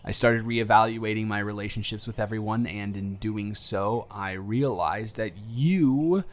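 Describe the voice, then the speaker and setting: Man acting an earnest, low-pitched anxious young lover. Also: lightly acted. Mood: tender, confessional. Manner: hesitant, halting, earnest, softly vulnerable. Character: earnest, anxious young man confessing love to his close friend. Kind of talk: emotional roleplay audio acting, intimate monologue to the listener.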